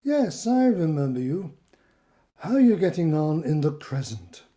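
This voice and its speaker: A woman playing a stern, condescending authority figure in a radio drama, measured, formal and dismissive. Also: low-pitched, very animated, dark tone. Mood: cool, sceptical. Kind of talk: audio drama acting.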